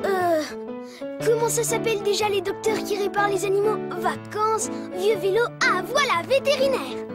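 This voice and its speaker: An actor voicing a young boy in a French children's cartoon, expressive and exclamatory, pleading, bossy and eager to help. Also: very high-pitched, normal speed. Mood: puzzled. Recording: music bed behind dialogue.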